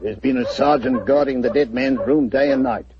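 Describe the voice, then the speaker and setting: An actor playing a blustering, self-assured police inspector in a 1940s radio drama. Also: male voice, medium pitch, moderate pace, crowd noise, lightly acted. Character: blustering police inspector, middle-aged man. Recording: muffled old radio recording.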